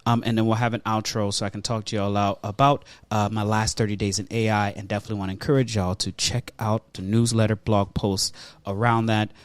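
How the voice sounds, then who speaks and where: A man's voice: on the low side, flat delivery, fairly quick, few pauses, clean tone, no room sound. A man hosting a podcast, talking casually in informal slang as he banters and runs through topics. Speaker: podcast host.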